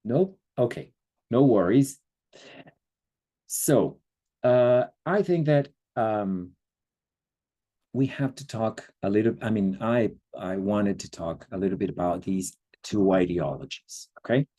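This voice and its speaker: A middle-aged man from Chile giving an online history lecture, speaking haltingly with many fillers and checking in with listeners. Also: on the low side, dark tone, husky. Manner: slow, hesitant, many fillers, checks in with the audience.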